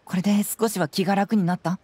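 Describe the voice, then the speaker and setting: A woman voicing a clever, composed young male detective in a game, with polished, measured, theatrical delivery. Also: medium pitch, fairly even intonation, mellow, husky, no echo, natural voice. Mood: calm, consoling. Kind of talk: Japanese game voice acting.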